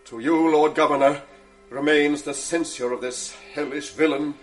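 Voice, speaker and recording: A man's voice: on the high side, fairly even intonation, normal speed, faint music behind, natural voice. An actor playing a stern Venetian nobleman who gives orders in verse in a 1980s radio drama. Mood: outraged, commanding.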